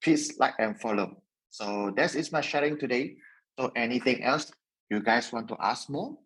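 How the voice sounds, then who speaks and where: A man's voice: medium pitch, animated, normal speed, fairly bright tone, husky, poor audio. A man closing a guest talk over a video call, speaking directly to viewers. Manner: wrapping up, addresses viewers directly. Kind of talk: online seminar talk.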